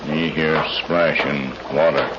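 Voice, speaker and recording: An actor playing a loyal, terse western sidekick in an old radio serial, speaking slowly and flatly in clipped broken English. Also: male voice, a bass voice. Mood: stoic. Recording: muffled, noisy vintage radio recording.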